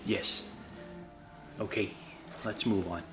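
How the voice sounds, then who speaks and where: A Swedish man with an accent, a YouTube creator casually answering viewer questions, halting and self-deprecating with many restarts. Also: fairly low pitch, steady tone, music in the background. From Sweden.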